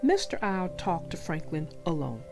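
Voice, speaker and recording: A woman narrating for young children in an expressive, reassuring way, as if reading aloud and talking directly to them. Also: fairly low pitch, moderate pace, gravelly, faint music behind. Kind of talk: read-aloud storytelling and advice to young listeners.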